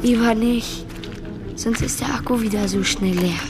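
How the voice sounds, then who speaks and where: A woman's voice: fairly high pitch, moderate pace, over loud music, natural voice. An actress playing a chatty, ordinary young boy in a children's radio play. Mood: casual, chatty.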